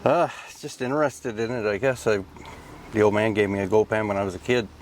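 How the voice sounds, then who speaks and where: A man's voice: on the low side, steady tone, fairly quick. A middle-aged man from Nova Scotia, a guest in a casual interview, who gives short, clipped answers. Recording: faint background noise.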